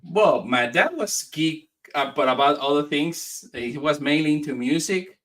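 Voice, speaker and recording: A man from Spain raised in the United States, a comic writer talking as a podcast guest in a friendly, halting way. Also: fairly high pitch, fairly bright tone, far from the mic. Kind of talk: casual interview chat.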